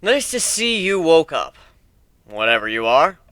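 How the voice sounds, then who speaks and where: A man's voice: a little high, very animated, a little slow, sharp tone, clean tone, no echo, clean studio sound, full character voice. A man voicing a cocky, menacing male griffin warrior, taunting and sarcastic, in a dramatic fantasy story reading.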